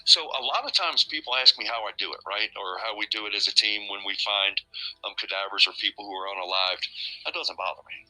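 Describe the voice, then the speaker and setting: Low, very bright American man recounting events. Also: brisk, poor audio. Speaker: search team member interviewed as guest. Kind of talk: conversational interview storytelling.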